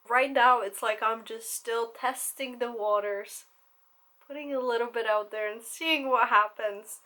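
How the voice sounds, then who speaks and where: A woman's voice: fairly high pitch, steady tone, takes it slowly, pushed and bright. A young woman talking candidly to camera as a content creator, swearing casually and laughing often.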